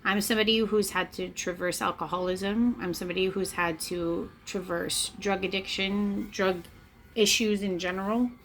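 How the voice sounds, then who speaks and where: Woman, late thirties, earnest solo vlogger, mid-pitched voice, faint room hiss behind. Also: steady tone, moderate pace. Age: late 30s. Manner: earnest, confessional, emphatic repetition. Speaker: spiritual vlogger. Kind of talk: personal reflective monologue to camera. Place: indoors, in front of a camera.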